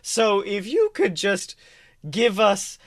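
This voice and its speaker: A man playing a calm, evasive adventurer in a tabletop game, coaxing and hesitant. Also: sits high, slow pace, pitched above the performer's own voice, exaggerated voice. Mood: placating. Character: calm, evasive male adventurer placating a stranger.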